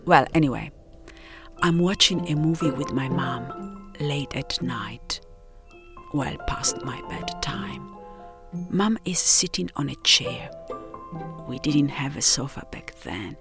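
A woman narrating her own scripted first-person story in a reflective, unhurried manner with deliberate pauses. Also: very deep voice, expressive, over music.

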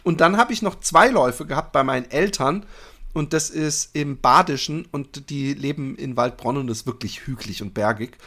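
A man's voice: medium pitch, moderate pace, bright, forceful tone. A man co-hosting a casual podcast, bantering about running in a teasing, playfully boastful and crude way. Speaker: podcast co-host.

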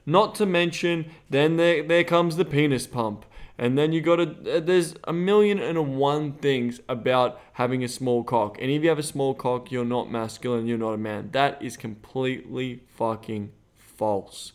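A man's voice: mid-range voice, moderate pace, smooth. An Australian man co-hosting a podcast in casual chat, blunt and swearing freely. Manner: blunt, profane and animated. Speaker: podcast co-host. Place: Thailand.

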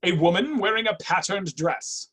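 A woman's voice: medium pitch, normal speed, husky. A woman playing an argumentative, easily worked-up office worker in a play reading. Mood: observing. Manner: excitable.

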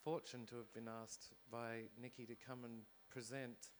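A man speaks on a panel about his work, reflective and measured, pausing as he thinks. His voice sits in a middle pitch, with faint room ambience behind. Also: little pitch movement, a little hiss or hum. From Australia. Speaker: panelist, dance and theatre artist. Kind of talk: panel discussion.

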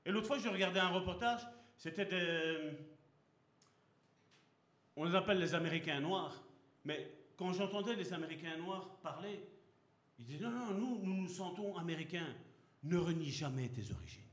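A man's voice: sits high, lively intonation, normal speed, long pauses, a bit bright. A man preaching a sermon as pastor, speaking with passion and throwing rhetorical questions at his congregation. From Belgium. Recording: echoey hall.

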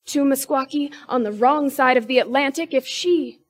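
Woman in her 20s, spoken word poet; high, rhythmic, emphatic. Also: moderate pace. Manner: rhythmic, emphatic delivery with sharp rises in pitch and force. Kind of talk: spoken word poetry performance.

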